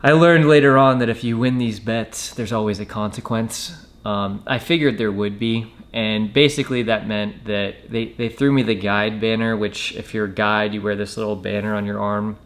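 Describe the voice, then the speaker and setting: A man telling a personal story in a casual, matter-of-fact way with hesitant pauses. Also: on the low side, steady tone. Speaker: storyteller, former Marine recruit.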